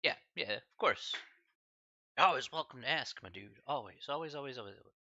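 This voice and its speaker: A man lecturing a math class over a live stream, loose and chatty, full of "uh" and friendly slang. His voice is very bright and lively, swinging up and down in pitch. The sound is dry and a little dull, with no room around it. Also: fairly quick, dry recording. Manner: casual, chatty, filler-heavy with slang asides. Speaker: math instructor.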